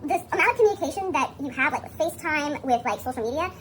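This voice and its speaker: A woman's voice: sits high, expressive, fast talker. A woman giving an informal personal explanation. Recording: faint background noise. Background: faint ambient noise.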